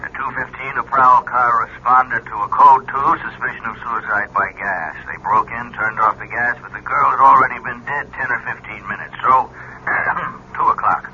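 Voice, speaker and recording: An actor playing a weary, clipped homicide detective in a 1970s radio drama. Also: male voice, mid-range voice, steady tone, moderate pace, bright, forceful tone. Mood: frustrated. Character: weary, hard-working homicide police detective. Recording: dull, noisy old radio recording.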